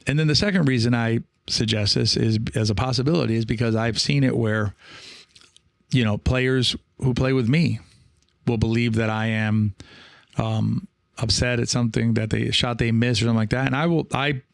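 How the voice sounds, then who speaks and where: A man hosting a podcast solo, explaining things conversationally like a coach, in a measured, careful way with pauses. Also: mid-range voice, creaky, no echo, studio quality. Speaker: podcast host and pickleball coach.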